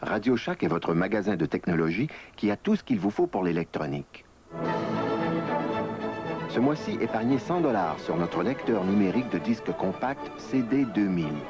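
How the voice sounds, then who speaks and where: A man's voice: fairly low pitch, big pitch swings, brisk. A man reads scripted retail advertising as a commercial announcer. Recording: old TV commercial over music.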